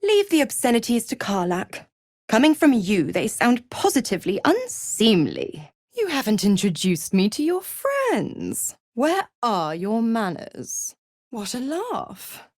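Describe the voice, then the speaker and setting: An actress voicing a seductive, gloating devil patron in a video game, with a silky, condescending, theatrical manner of cruel teasing. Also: female voice, fairly high pitch, wildly swooping pitch, measured pace, no room sound, clean studio sound, exaggerated voice. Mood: smug. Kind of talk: video game character voice acting.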